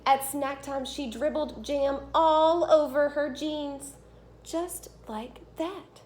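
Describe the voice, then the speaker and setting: A high-pitched woman's voice, a teacher doing a read-aloud for young children. She tells the story expressively, stretching out key phrases and leaning on them for emphasis. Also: slow pace, a little mellow, slight background noise. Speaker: teacher reading a picture book aloud.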